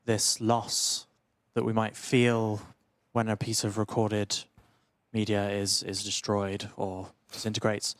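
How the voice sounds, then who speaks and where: Guy with a lowish voice asking a question from the audience, measured, pauses between bits. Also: monotone. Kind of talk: unscripted question at a public talk.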